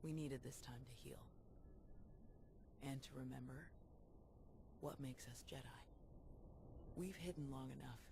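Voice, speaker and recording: A resolute female warrior-monk from a video game, earnest and humble. She speaks in measured, solemn lines over a clearly noisy capture. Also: very deep voice, full character voice.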